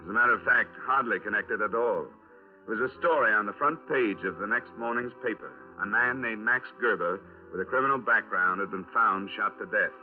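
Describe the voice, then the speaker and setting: Man acting as hardboiled investigator, low voice, clipped delivery, vintage radio crime drama, thin old broadcast sound. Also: brisk, close to an everyday voice. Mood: matter-of-fact. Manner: clipped, matter-of-fact. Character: terse, probing male insurance investigator narrating his case. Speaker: lead actor and narrator. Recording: restored 1950s radio, narrow band, faint music.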